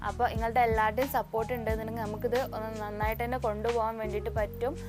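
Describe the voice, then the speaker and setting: A woman's voice: fairly high pitch, level tone, rapid-fire. A woman vlogger chats informally to her viewers, mixing in English words as she announces her small business. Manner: chatty, informal, addressing viewers directly with English words mixed in. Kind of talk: casual vlog announcement to viewers. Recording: clear music playing behind voice.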